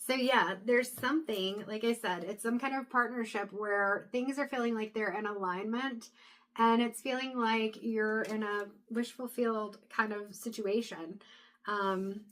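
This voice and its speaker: A woman giving a casual intuitive tarot reading to camera, chatty and thinking aloud as she goes, her voice going hoarse and breaking into throat clears. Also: fairly high pitch, steady tone, unhurried, a bit bright. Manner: chatty, thinks aloud, frequent fillers and restarts. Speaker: tarot reader.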